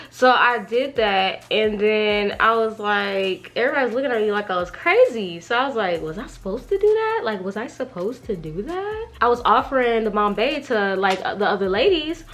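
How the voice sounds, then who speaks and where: High-pitched, animated young woman storyteller. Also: normal speed, faint music behind. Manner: slangy, gossipy, acts out quoted dialogue. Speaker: vlogger. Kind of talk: animated casual storytime chat.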